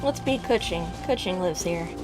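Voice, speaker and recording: A woman giving casual, excitable gameplay commentary, exclaiming and talking to herself as she plays. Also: on the low side, moderate pace, over loud music, low-quality recording. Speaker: game streamer.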